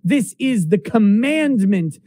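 A man's voice: sits high, expressive, takes it slowly, mellow, no echo, studio quality. A middle-aged man reading a text aloud in a declamatory, emphatic, dramatic way.

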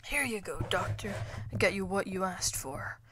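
A woman's voice: mid-range voice, lively intonation, normal speed, lightly acted. A woman voicing a dutiful toy soldier in a stop-motion war film. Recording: noisy amateur recording with gunfire.

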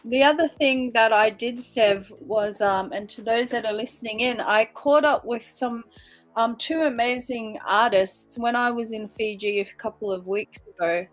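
Casual female host, forties, chatty online interviewer on a home video call, slightly higher voice. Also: moderate pace, soft background music, poor audio.

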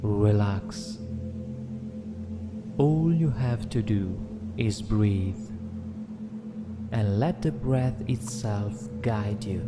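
A man narrating a guided meditation in a gentle, soothing, deliberate way, leaving long pauses between phrases. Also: on the low side. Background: meditation music with singing bowls.

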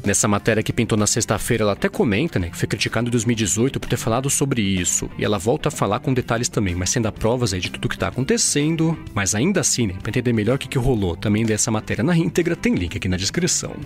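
Fast-talking Brazilian man reading news. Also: fairly low pitch, expressive, soft background music. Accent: Brazilian Portuguese. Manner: casual, fillers like "né" and "aí". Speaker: tech news podcast host. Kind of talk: daily tech news roundup.

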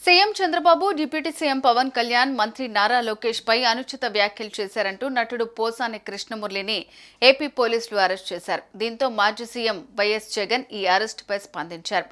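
A woman's voice: fairly high pitch, fairly quick, fairly bright tone, faint music behind. A woman news presenter reading a scripted report in a formal news narration style.

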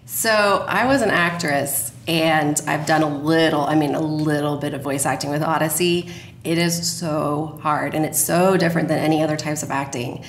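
A woman's voice: low voice, fairly even intonation, moderate pace. A woman speaking as a guest in a conversational podcast interview about her work. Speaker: podcast guest, radio drama producer. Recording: roomy echo, faint noise.

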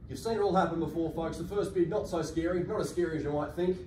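A man's voice: on the high side, fast, dark tone. An Australian man working as an auctioneer, calling a live property auction in polished, projected sales patter, recorded across a large function room. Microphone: far camera across the room. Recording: echoey room, distant mic, faint noise.

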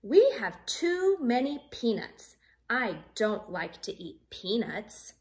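Woman reading as a fussy little rabbit child, drawn-out. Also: fairly high pitch, sing-song. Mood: whiny. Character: young rabbit child, fussy and whiny picky eater.

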